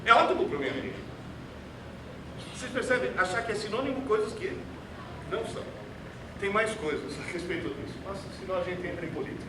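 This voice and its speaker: A man's voice: high voice, fairly quick, long pauses. A man who learned Portuguese as a second language gives a theatrical, sarcastic lecture to an audience in an auditorium. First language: not Portuguese. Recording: echoey hall, distant mic, noisy.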